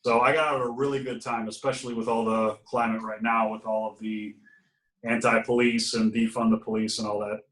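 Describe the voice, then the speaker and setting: A man's voice: on the low side, level tone, a little fast, fairly bright tone, far from the mic. Easygoing man, roomy and off mic. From Ohio, USA. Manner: easygoing storyteller, laughs now and then. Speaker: podcast guest. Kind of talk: casual interview chat.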